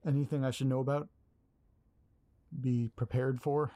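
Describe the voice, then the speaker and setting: A man's voice: medium pitch, flat delivery, normal speed, warm tone, lightly acted. A man playing a nervous, hesitant young man who apologizes and stumbles over his words in an audio drama. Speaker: lead character.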